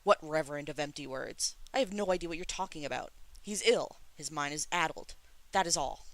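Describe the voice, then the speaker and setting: A reader as a domineering, gravelly elder-brother god. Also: medium pitch, normal speed, pushed and bright. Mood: curt, commanding. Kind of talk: dramatic audiobook character reading.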